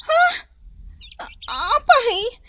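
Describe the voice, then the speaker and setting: A woman's voice: slow, sharp tone, slight background noise. A woman playing a clingy young girlfriend getting used to humble rural life in a drama. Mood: plaintive.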